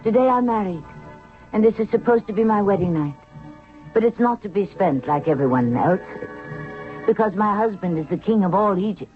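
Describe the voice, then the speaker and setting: A woman's voice: mid-range voice, natural voice. Spirited young queen played by a woman, radio-thin. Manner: wry, exasperated, direct. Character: spirited, impatient young queen, newly married. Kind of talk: 1970s radio drama acting. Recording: phone-like vintage radio recording with faint music.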